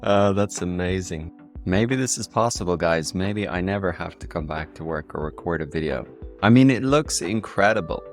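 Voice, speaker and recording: An Irish man hosting a casual software tutorial in an enthusiastic way. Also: low-pitched, normal speed, faint music behind. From Ireland. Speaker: tutorial video host.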